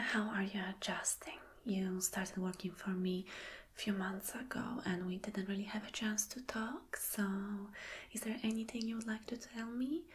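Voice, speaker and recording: A woman whispers slowly and close to the microphone, playing a flirty boss in an ASMR roleplay. Her manner is gentle and reassuring, at a medium pitch. There is faint noise and small clicking behind her. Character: flirty, attentive female boss soothing an employee. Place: small dim room indoors.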